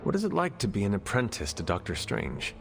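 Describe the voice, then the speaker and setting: A stoic male warrior hero talks in a deepish, very gravelly voice. His speech is formal, measured and probing, as in a dramatic game cutscene, with music playing behind him. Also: huge pitch swings, full character voice. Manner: formal, deliberate, few contractions. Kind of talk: video game cutscene acting.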